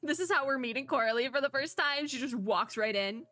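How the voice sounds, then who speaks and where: A young woman with a hoarse, worn voice, giving giggly, chatty commentary on a story game and reading its text aloud. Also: high voice, animated, a little fast, sharp tone, soft background music. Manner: giggly, chatty, cheers on characters. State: hoarse, sore throat. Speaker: VTuber.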